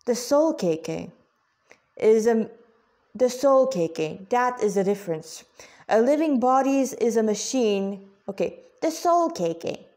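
A woman puts on a cheerful animal-eared creature from a story. It talks in a fairly high voice, chatty and keen to explain, with a pointed streak. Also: lively intonation, a little slow, warm tone.

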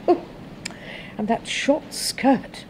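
A woman's voice: very slow, slight background noise, poor audio. An actress playing a prim, self-righteous British secretary in a 1970s radio drama, precise and prissy in her speech. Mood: disgusted, seething. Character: prim, bitter, self-righteous spinster secretary, seething with disgust.

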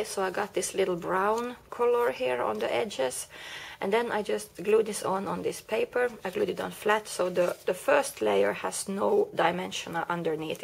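A woman's voice: mid-range voice, vocal fry, husky. A woman with a cold and a slightly rusty voice, chatting through a card-making tutorial step by step and correcting herself along the way. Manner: chatty, explains step by step with small self-corrections. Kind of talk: casual craft tutorial. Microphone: camera mic over a craft table, hands only.

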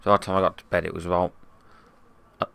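A young man with a hoarse voice, giving chatty, wry let's play commentary and swearing now and then. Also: sits low, little pitch movement, quick pace, sharp tone. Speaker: gaming YouTuber.

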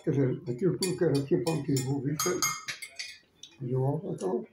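A man with a European Portuguese accent chats casually in a creaky voice. The room rings around him and dishes clink clearly. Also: fairly high pitch, fast talker, noisy background. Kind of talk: casual table chat. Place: home dining room, indoors. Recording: roomy phone recording, clinking dishes. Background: cutlery and dishes clinking.

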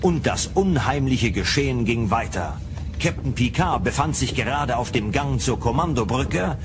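Male radio drama narrator over loud music. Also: medium pitch, expressive, normal speed. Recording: music under the voice.

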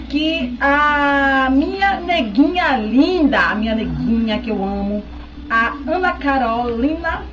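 Chatty high-voiced woman, dull webcam sound. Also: background noise. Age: 30s. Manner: chatty, keeps checking in with "tá?". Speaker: beauty vlogger. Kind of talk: casual chat to camera. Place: indoors at home, small room. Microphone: webcam close up.

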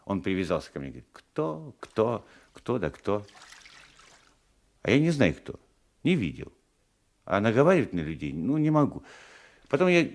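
Сбивчивого старика-крестьянина, интонации скачут. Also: male voice, low-pitched. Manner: hesitant, stammering, self-justifying. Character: querulous, battered old peasant husband, meek but stubborn. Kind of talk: 1980s Soviet radio drama acting.

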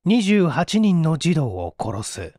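A man narrates a mystery audiobook. His voice sits a little high and moves expressively. The delivery is formal and theatrical, recorded dry. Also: big pitch swings, slow pace, a little mellow, no room sound, faint music behind. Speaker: audiobook reader. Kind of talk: dramatic scripted mystery narration.

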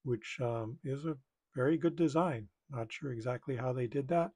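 Husky, bright-toned man talking through repairs. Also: mid-range voice, moderate pace. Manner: thinking aloud, tentative. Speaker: repair video maker. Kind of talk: casual hands-on tutorial commentary.

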